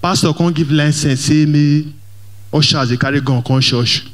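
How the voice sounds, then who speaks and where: A young Nigerian Pidgin-accented man doing stand-up comedy on a church stage, slightly high-voiced and lively. He mixes Pidgin with English and talks straight to the crowd through a handheld mic. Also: moderate pace. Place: large church hall stage. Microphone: handheld microphone.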